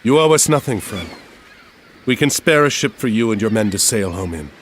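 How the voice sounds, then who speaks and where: An actor playing an earnest, commanding hero of ancient myth in a video game. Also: male voice, on the low side, lively intonation, normal speed, noisy background, natural voice. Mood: gracious. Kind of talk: video game cutscene acting.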